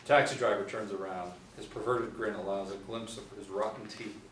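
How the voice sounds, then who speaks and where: A man in his forties reads script narration in a low voice. The recording is roomy and a little distant. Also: steady tone, normal speed. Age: 40s. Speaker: narrator of stage directions. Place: small room indoors. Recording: roomy, distant mic, faint noise.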